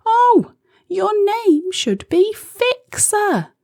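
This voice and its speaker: A woman voicing a young girl inventor who is excitable, dramatic and quick to get frustrated. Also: extremely high pitch, no echo, clean studio sound, pitched above the performer's own voice, heavily acted. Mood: overjoyed. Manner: exclaiming, sighing, dramatic.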